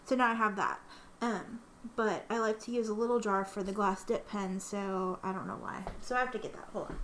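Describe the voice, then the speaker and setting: A woman's voice: mid-range voice, fairly even intonation, fairly quick, fairly bright tone, slight background noise. A woman chatting casually in a vlog as she swatches inks, sniffly from allergies, rambling with lots of ums and self-corrections. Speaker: ink swatching vlogger.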